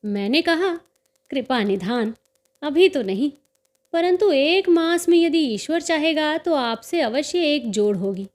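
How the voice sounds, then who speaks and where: A man's voice: extremely high pitch, animated, measured pace. A woman voicing a cheerful, boastful teenage boy who tells of his bird fights in a storybook reading.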